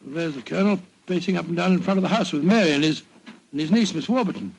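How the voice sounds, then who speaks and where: A man playing an earnest, blustery British gentleman sidekick in 1940s radio drama style. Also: a little high, quick pace, creaky, a little hiss or hum, poor audio. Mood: worried.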